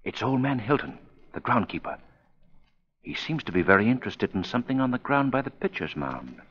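An actor playing a suave, composed gentleman detective in an urbane, measured 1930s radio style. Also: mid-range voice, very animated, breathy edge. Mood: grave. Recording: dull lo-fi 1930s radio recording.

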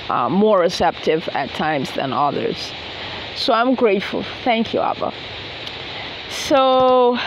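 Earnest young woman, slow outdoor phone vlog. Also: medium pitch, slight background noise, rough recording. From the Bahamas. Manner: earnest, unhurried, sincere faith talk. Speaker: gospel songwriter and vlogger. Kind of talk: casual talk to camera, then unaccompanied singing. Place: outdoors in an open field. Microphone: phone camera at arm's length with earphone mic.

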